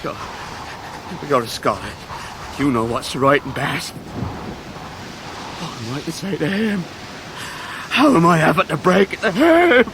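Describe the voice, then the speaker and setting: A man's voice: a little high, animated. Heartbroken rustic young fisherman, wide-swinging voice. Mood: lost, halting. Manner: halting, rustic, deferential. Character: heartbroken, honest young working-class fisherman. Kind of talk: period radio drama acting. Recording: noisy 1990s radio drama recording.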